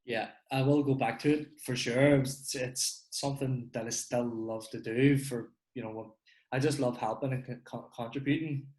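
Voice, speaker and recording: A man with a Northern Irish accent hosting a podcast interview in a relaxed, conversational way. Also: medium pitch, normal speed. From Northern Ireland. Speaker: podcast host. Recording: echoey room.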